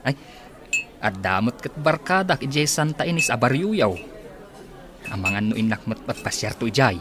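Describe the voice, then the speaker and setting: Casting: man playing a deferential, friendly male errand-runner in radio drama, medium pitch, very animated delivery. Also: normal speed, background noise, lightly acted. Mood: friendly, inquiring. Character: deferential male aide doing detective errands, friendly when asking around. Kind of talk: Filipino radio drama acting.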